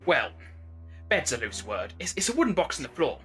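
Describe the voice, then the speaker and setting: A man playing a cheeky, excitable working-class Victorian doss-house keeper in an audio drama. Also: a little high, brisk, heavily acted. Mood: brusque, cheeky. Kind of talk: period audio drama acting. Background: music.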